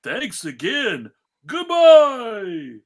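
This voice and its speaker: Jolly, fretful old cartoon Santa, acted by a man: hammy, halting, unhurried, very animated. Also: very high-pitched, exaggerated voice. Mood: hopeful. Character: jolly but worried old Santa Claus. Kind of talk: live dramatic table read of a cartoon.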